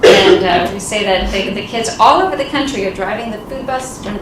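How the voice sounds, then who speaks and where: A woman's voice: mid-range voice, fairly quick. A woman, a nonprofit founder, giving an earnest, informal talk on a conference panel. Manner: earnest, informal, with fillers and light laughs. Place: conference room. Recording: echoey room, distant, noisy.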